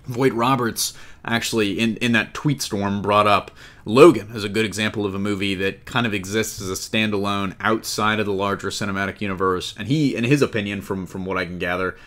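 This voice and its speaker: Guy with a lowish voice hosting a casual pop culture podcast, jokey and chatty. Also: fairly even intonation, a little fast, a bit bright. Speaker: podcast host. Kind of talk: casual entertainment news discussion.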